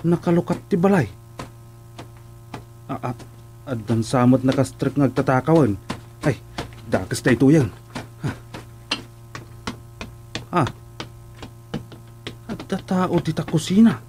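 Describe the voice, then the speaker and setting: High-pitched, fretful village sidekick. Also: male voice, lively intonation, slow pace, long pauses, warm tone, slight background noise. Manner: exclaiming, fretful. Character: excitable village man, a worried sidekick to the chairman. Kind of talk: comedic radio drama acting.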